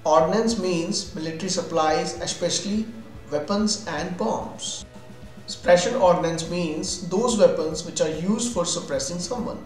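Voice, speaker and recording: High-voiced Indian man teaching English. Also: a little slow. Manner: instructional, defining terms then giving examples. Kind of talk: scripted vocabulary lesson narration. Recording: roomy echo with music behind.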